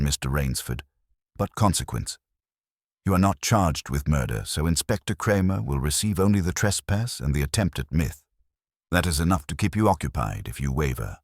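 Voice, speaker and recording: Stern, cerebral detective, played by a male narrator: very low, husky, formal and measured, for audiobook mystery. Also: no room sound, clean studio sound, full character voice. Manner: formal, pronouncing, precise wording.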